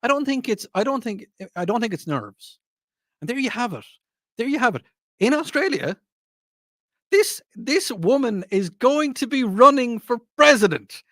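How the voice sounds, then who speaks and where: A man's voice: very high-pitched, animated, normal speed, a bit bright, no echo. Irish guy doing talk radio, repeats himself a lot, laughs, bouncy voice, super dry mic. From Ireland. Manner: rambling, repetitive, mocking, laughs often. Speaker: radio show host. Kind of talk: casual talk radio monologue.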